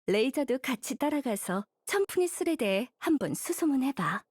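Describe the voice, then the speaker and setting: A woman's voice: high-pitched, big pitch swings, normal speed, slightly rough, heavily acted. An actress playing a kindly, patient young woman mentor in an anime game, teacherly and encouraging. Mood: advising. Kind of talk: Korean anime game voice acting.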